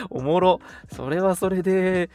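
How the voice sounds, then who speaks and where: A man in his twenties co-hosting a casual radio chat, talkative and quick to agree and react. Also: high voice, darkish tone. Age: 20s. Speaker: radio show co-host. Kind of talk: casual banter on a live internet radio chat.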